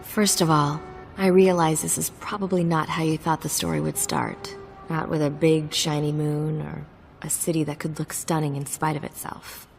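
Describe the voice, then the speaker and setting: A woman playing a reflective young crimefighter, giving measured, wry film narration. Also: deep voice, little pitch movement, gravelly, soft background music. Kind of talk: scripted in-character film narration.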